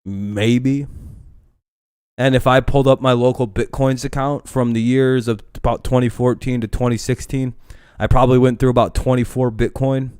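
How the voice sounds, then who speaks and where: A man's voice: medium pitch, fairly even intonation, moderate pace, a little mellow, smooth, dry recording, clean studio sound. A man in his twenties chatting casually on a livestream, rambling and profane, often stopping to restart his sentences. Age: 20s. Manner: rambling, profane, self-interrupting. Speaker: livestream host.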